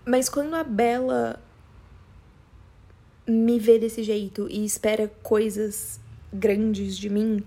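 A woman's voice: a little high, fairly even intonation, slow, pauses often, dark tone. A young woman with a strong São Paulo Brazilian accent, chatting casually and confessionally in a rambling, slangy, emphatic way. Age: young adult. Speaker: podcast host.

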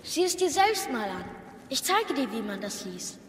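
A woman's voice: high voice, big pitch swings, normal speed, a little hiss or hum. A woman playing an eager, defiant young boy, a warrior's son, with youthful, insistent delivery in a game audio drama. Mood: amazed, curious.

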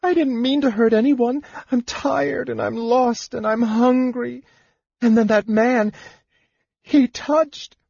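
A man's voice: extremely high pitch, steady tone, slow, vocal fry, darkish tone, slightly rough, no echo, voice pushed up, heavily acted. A man putting on a tearful, frightened young woman. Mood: tearful pleading. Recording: dull, dry, muffled audio.

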